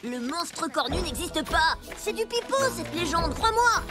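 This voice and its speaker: A woman's voice: extremely high pitch, animated, a little slow, over loud music. A woman playing a bossy, scheming child bully in a cartoon, pushy and sneering. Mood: insistent. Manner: pushy, taunting, cajoling. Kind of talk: French animated cartoon dub acting.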